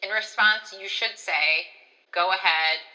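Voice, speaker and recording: A woman's voice: medium pitch, slow, bright, forceful tone, low-quality recording. A woman co-hosting a staff training talk, giving short, scripted-sounding instructional points. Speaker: co-host, school staff trainer.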